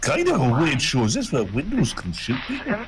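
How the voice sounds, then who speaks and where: A man's voice: on the high side, huge pitch swings, fairly quick, very noisy. A man making sarcastic, joking commentary while watching an old show on a livestream.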